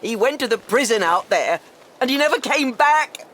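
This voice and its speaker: A woman playing a frightened, shaken constable's wife in an audio drama, speaking in a halting, anxious way. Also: fairly high pitch, animated, fairly bright tone, a little hiss or hum. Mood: distressed.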